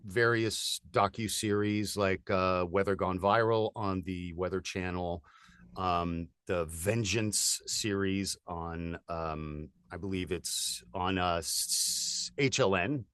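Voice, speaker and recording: A man in his forties from California, a voiceover artist chatting as a guest in an upbeat, energetic way with lots of fillers. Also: low-pitched, takes it slowly, clear, resonant tone, no room sound, clean studio sound. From United States (California). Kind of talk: casual interview chat.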